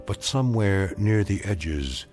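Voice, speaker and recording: Ominous radio-drama narrator, low voice, dramatic swings in pitch, deliberate, suspenseful. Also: male voice, lively intonation, a little mellow, soft background music. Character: grave, knowing male narrator of eerie tales.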